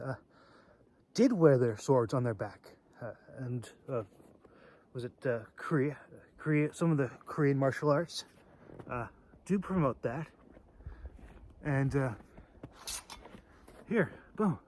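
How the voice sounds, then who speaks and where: A man hosting a casual, off-the-cuff demonstration video, talking in a halting, rambling way with phrases that trail off. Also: mid-range voice, a little mellow, a little hiss or hum. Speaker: video host demonstrating sword carry.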